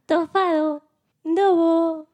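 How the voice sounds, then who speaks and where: Little baby lamb for kids story, high voice, really slow, innocent and sweet babyish talk. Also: female voice, steady tone, a little mellow. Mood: pleading. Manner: babyish, simple childlike speech. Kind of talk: children's story radio play.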